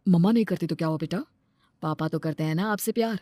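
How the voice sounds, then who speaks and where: A woman's voice: on the low side, fast, warm tone, a little hiss or hum, voice pushed down, natural voice. A woman voicing an earnest, plain-spoken young father devoted to his children in a cartoon story. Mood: tender.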